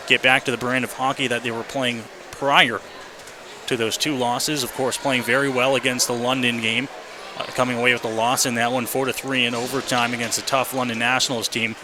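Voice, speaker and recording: A man doing live radio play-by-play commentary before a hockey game, as the announcer. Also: mid-range voice. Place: indoor hockey arena. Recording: noisy live rink broadcast. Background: clear background arena noise.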